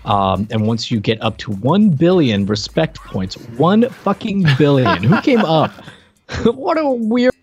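A middle-aged man hosting a podcast, chatting informally and jokingly about retro games in a halting way. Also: a little high, big pitch swings, highs cut off, a little hiss or hum. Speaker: podcast host. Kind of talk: casual retro game review chat.

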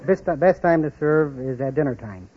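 A man's voice: fairly high pitch, animated, moderate pace. A man giving brief, plain, factual answers as a contestant on an old radio quiz show. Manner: plain, matter-of-fact. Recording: muffled old radio recording.

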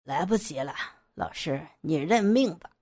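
Woman doing a gloating guy, pushed-down breathy voice, pitch swings around a lot. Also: expressive, a little slow, a little mellow. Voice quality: lowered, breathy voice. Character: forceful, gloating adult man. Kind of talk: audio drama reading.

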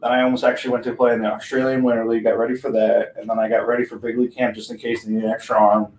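A man, a guest pitcher, speaking upbeat and agreeable in a casual podcast chat. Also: flat delivery, racing, darkish tone. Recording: echoey room, mic at a distance.

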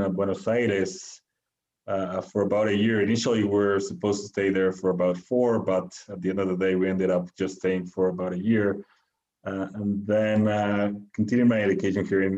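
Man, thirties, from Mexico, low monotone voice, halting casual answers. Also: highs cut off. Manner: halting, many fillers and restarts. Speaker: guest, venture capital founder.

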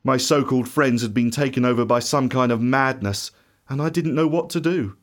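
A man playing a bitter, grief-stricken disciple in a dramatic first-person monologue, emotional and theatrical, swinging from hushed to shouting. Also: mid-range voice, moderate pace, close to an everyday voice. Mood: subdued. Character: anguished, bitter doubting male disciple recounting his grief.

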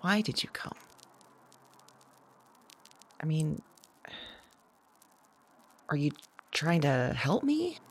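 A woman acting a searching, anxious fugitive visionary who talks to spirits, halting and correcting herself in an audio drama. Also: deep voice, very animated, slight background noise, full character voice. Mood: searching, emotional. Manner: halting, self-correcting, repeats words. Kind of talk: naturalistic audio drama monologue.

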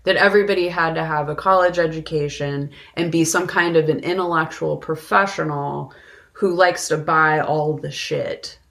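Gravelly, creaky-voiced woman chatting casually. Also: deep voice, steady tone, moderate pace, fairly bright tone. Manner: blunt, opinionated, casual swearing. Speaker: podcast co-host. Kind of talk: casual film discussion chat.

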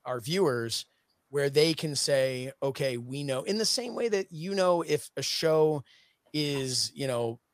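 A man's voice: fairly high pitch, lively intonation, measured pace, no room sound. A man in his late thirties, a guest on a casual podcast, explaining his plans earnestly and at length with many "you know"s. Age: 30s. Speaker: guest, streaming network executive.

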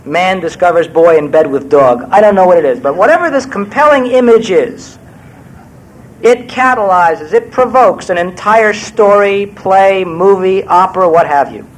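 Wry older New man, slightly high voice. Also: lively intonation, moderate pace, poor audio. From New York. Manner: wry, digressive, self-deprecating. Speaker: screenwriter guest speaker. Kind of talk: Q&A talk to an audience.

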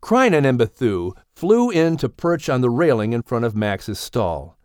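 Male narrator for children's audiobook, expressive storyteller, lively melodic range, close dry sound. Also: medium pitch, a little slow, clean tone, no room sound.

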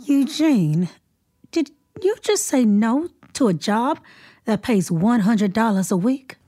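A woman's voice: fairly high pitch, lively intonation, slow, mellow. An actress playing a refined, insistent woman in a period radio drama, speaking formally and pointedly. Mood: incredulous. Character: insistent, refined woman visiting a hospitalised man.

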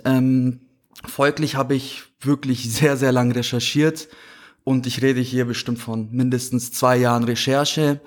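A man in his late twenties, a podcast guest telling his story in a rambling casual way with many filler sounds. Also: medium pitch. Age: 20s. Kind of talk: casual chat.

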